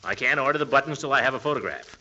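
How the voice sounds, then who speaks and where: Pompous middle-aged American uncle, flustered, blustery, piercingly bright tone, old radio comedy, muffled recording. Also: male voice, mid-range voice, lively intonation, racing, slight background noise. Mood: self-important. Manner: blustery, exasperated. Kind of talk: 1940s radio comedy acting.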